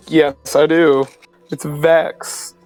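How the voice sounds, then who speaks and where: A man's voice: fairly high pitch, flat delivery, very slow, a little mellow, soft background music, low-quality recording. A man playing a tabletop roleplaying game, talking casually and a bit tentatively. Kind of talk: casual in-character roleplay chat.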